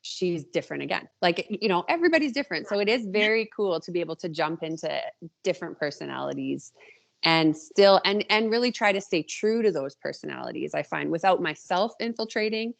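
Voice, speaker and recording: A woman's voice: fairly low pitch, expressive, brisk, creaky. A woman author speaking as a podcast guest, casual and reflective, informally questioning her own choices. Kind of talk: casual interview chat.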